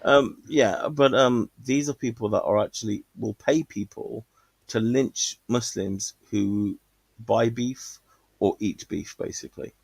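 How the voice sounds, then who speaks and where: A man's voice: lowish voice, steady tone, a little slow, vocal fry, slightly rough, no room sound. A man calling in to a stream, talking casually and rambling with many fillers and restarts. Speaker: caller. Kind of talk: casual call-in chat.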